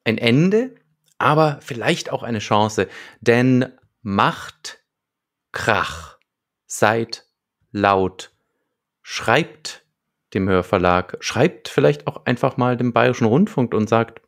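A man's voice: mid-range voice, animated, slow. A man co-hosting a podcast in a conversational, partly prepared style, speaking close to a desktop microphone at home. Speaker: podcast co-host. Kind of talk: conversational podcast talk with prepared plot summaries. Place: small home room with shelves.

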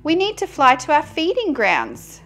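Woman as a high-voiced, practical storybook goose. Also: wildly swooping pitch, a little slow, fairly bright tone, soft background music, heavily acted. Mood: matter-of-fact. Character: practical, patient, firm goose friend of a tortoise.

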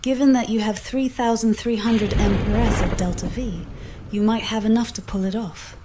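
Instructive woman assistant over loud noise. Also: fairly high pitch, fairly even intonation. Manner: measured, instructive, full sentences. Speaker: AI mission companion. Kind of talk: scripted, formal advisory narration.

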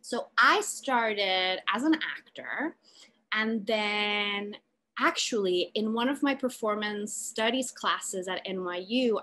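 A woman's voice: mid-range voice, a bit bright. A woman in her thirties from Puerto Rico, giving a lecture over a video call from a room at home, talking thoughtfully with lots of "like" fillers. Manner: thoughtful, gestures a lot, many "like" fillers. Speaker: artist lecturer. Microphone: laptop webcam, video call.

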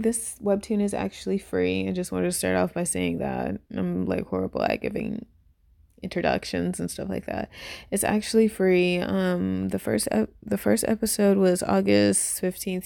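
A woman in her twenties giving a casual, unscripted review in a rambling, informal way, with lots of fillers and heavy vocal fry. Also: mid-range voice, mellow. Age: 20s. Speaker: YouTuber reviewing a webtoon. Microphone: voice-over on a phone screen recording.

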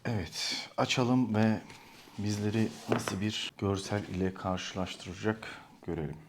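A man's voice: lowish voice, steady tone, measured pace, a little hiss or hum. A man hosting a motorcycle vlog, giving a casual, explanatory product review and thinking aloud as he goes. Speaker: motorcycle vlogger hosting own channel.

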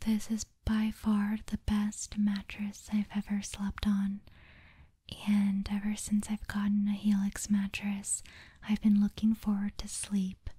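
A woman speaking in a breathy whisper as an ASMR host, in a slow, soothing, deliberate manner. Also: mid-range voice, mellow, no echo. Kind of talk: whispered soft-spoken ASMR, guided sleep meditation.

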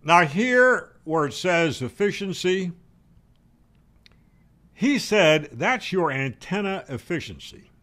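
American man in his seventies, animated swooping intonation, slightly high pitch, wry conversational explainer, close desk mic at home. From United States. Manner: conversational, opinionated, wry, asks rhetorical questions. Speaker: ham radio video host. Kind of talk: casual explainer to camera. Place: home room, indoors. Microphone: desk microphone on boom arm, close.

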